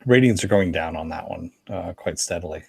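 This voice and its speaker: Man, co-host, lowish pitch, dry close sound, casual conversational delivery. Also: brisk, vocal fry, breathy edge, no room sound. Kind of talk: casual chat, reading viewer comments.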